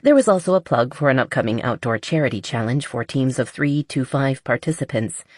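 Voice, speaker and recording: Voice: mid-range voice, animated, brisk, no echo, studio quality. An audiobook narrator reading a romance novel in a scripted storytelling style.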